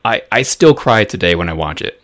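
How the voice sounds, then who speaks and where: Male host, slightly low voice, enthusiastic and encouraging interviewer. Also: animated, a little fast, a bit bright. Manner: enthusiastic, encouraging with short backchannels. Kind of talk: casual interview.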